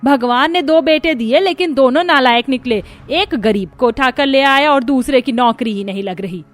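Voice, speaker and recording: High-pitched, nagging cartoon mother-in-law, played by a woman. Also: moderate pace, lightly acted. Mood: angry, scolding. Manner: scolding, sarcastic, complaining. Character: bitter, nagging middle-aged Indian mother-in-law. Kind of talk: Hindi cartoon story dubbing.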